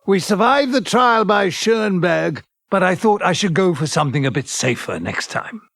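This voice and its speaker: A man's voice: high-pitched, animated, normal speed, creaky, a bit bright, heavily acted. An actor in an audio drama playing a cultured, romantic male physics teacher, earnest, erudite and self-deprecating. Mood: enthusiastic. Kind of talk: audio drama dialogue and narration.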